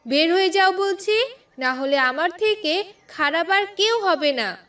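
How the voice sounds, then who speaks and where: Woman as a very high, spiteful young wife. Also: expressive, a little slow, a bit bright, distant mic, full character voice. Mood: haughty, angry. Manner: whiny, scolding, demanding. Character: spiteful, lazy, bossy young second wife. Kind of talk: cartoon voice acting.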